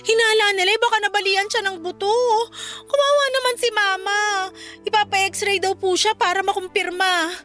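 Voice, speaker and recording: Napakatinis na mahiyaing empleyada. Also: female voice, extremely high pitch, steady tone, moderate pace, sharp tone, soft background music. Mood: worried. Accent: Filipino. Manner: polite, hesitant, deferential. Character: humble, worried young female employee, grateful and deferential. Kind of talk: Filipino radio drama acting.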